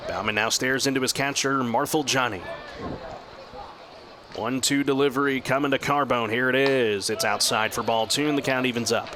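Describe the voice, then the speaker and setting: A man working as a radio announcer, giving baseball play-by-play commentary that moves between measured description and excited calls. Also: mid-range voice, noisy background.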